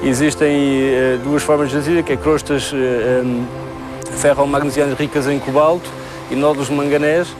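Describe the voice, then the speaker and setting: A man with a European Portuguese accent giving halting, technical explanations as an expert in an interview. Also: medium pitch, flat delivery, music in the background. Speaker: marine survey expert being interviewed.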